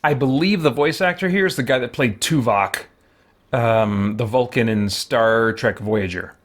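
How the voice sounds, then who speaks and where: A man giving casual, halting live-stream commentary on a game, thinking aloud as he goes. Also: mid-range voice.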